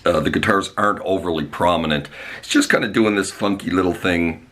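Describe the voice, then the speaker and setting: A man with a cold and a hoarse voice, teaching a guitar lesson in a relaxed way with lots of "uh" fillers. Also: low-pitched, fairly quick. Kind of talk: guitar tutorial. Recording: guitar and faint backing music behind.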